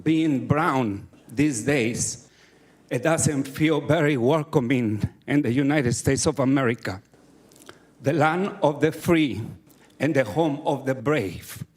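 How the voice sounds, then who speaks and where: A man, giving earnest personal testimony at a community meeting with pauses between phrases. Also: medium pitch. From Mexico City. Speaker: community advocate.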